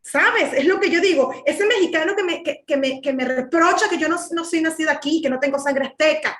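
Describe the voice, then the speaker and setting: Indignant Venezuelan woman, high voice. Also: fairly quick, a bit bright, echoey room, slight background noise. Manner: indignant, emphatic, repeats words when worked up. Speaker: political commentator. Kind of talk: heated political commentary.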